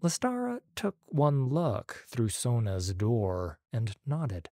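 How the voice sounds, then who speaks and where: A man narrating an audiobook story in a measured, expressive way. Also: mid-range voice, no echo, studio quality.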